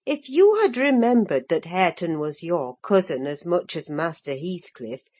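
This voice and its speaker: Woman reading as an older storybook housekeeper, lower and measured, reproving, brief scene. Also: darkish tone. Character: older female housekeeper, gently scolding. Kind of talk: audiobook reading.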